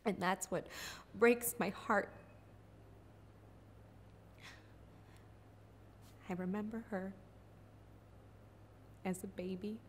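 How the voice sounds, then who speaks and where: A woman's voice: medium pitch, steady tone, unhurried, a little hiss or hum, lightly acted. A woman performing a dramatic stage monologue as a grieving, regretful older sister, blunt and defensive. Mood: grief-stricken.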